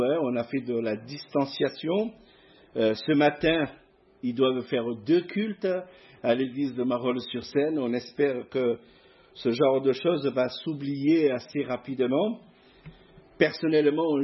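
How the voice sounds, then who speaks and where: A middle-aged man with a southern French accent, a guest preacher giving a sermon in church, hesitant with many pauses. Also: medium pitch, highs cut off. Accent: southern French (Provence). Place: church hall before a masked congregation.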